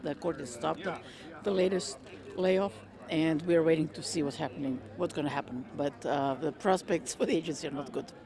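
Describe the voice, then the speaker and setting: Woman from Croatia, measured speech. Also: low voice. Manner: measured, frequent ums and uhs. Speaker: interviewee, retired federal worker. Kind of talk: conversational interview answers. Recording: crowd chatter behind. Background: crowd chatter.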